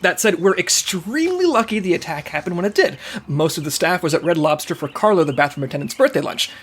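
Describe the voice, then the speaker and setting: An actor playing a wry, put-upon, slightly petulant secret agent in a comedy audio drama. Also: male voice, high voice, sing-song, quick pace, fairly bright tone, husky, exaggerated voice. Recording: faint background noise.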